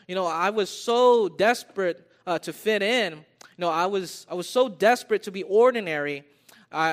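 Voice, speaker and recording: A man's voice: high voice, a bit bright. An American man preaching a sermon, repeating his phrases for emphasis and calling his listeners beloved. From United States. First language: English. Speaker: pastor.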